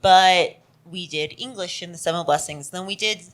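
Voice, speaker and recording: A woman's voice: fairly low pitch, normal speed. A woman from the audience chatting on stage at a live comedy podcast, a bit unused to the handheld mic. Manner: chatty, apologetic, not used to mics. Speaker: audience member asking advice. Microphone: handheld microphone.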